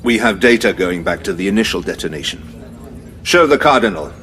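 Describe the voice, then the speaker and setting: A man playing a grave, deep-voiced general. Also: lively intonation, noisy background, lightly acted. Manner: measured, formal briefing. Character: grave male general, formal and heavy.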